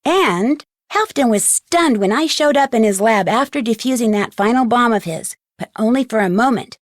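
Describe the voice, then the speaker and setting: A woman's voice: a little high, lively intonation, moderate pace, no echo, clean studio sound, natural voice. A woman with an American accent playing a witty teenage girl detective, telling how a case ended in wry, conversational game narration. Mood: wry, amused. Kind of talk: scripted game epilogue narration.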